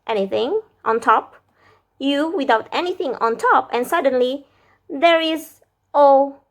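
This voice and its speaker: A woman in her thirties with an Indonesian accent, a vlogger musing aloud about learning a language, recorded at arm's length in a small room. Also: high-pitched. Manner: slow, thoughtful, musing aloud with hesitations. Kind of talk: casual language-learning vlog. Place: small indoor room with lamps behind. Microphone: camera or phone at arm's length.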